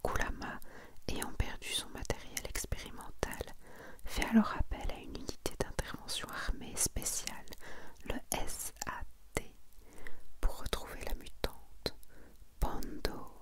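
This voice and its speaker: Whispering woman, soft breathy ASMR voice. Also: a little slow. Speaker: host of an ASMR manga collection video. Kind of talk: whispered ASMR presentation, reading summaries and commenting.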